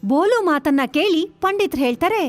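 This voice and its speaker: A woman's voice: very high-pitched, lively intonation, a little fast, no room sound, faint music behind. A woman narrating a scripted children's story.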